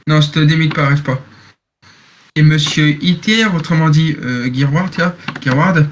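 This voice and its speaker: Young man, higher-pitched, noisy voice chat. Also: normal speed. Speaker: game server moderator. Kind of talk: roleplay moderation in online voice chat.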